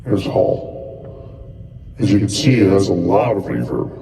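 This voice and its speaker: Gravelly low-voiced man walking through settings. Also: very animated, unhurried, a loud crowd, poor audio. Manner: talks while pressing buttons, thinking aloud, loose restarts. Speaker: costuming hobbyist giving a tutorial. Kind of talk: casual step-by-step how-to.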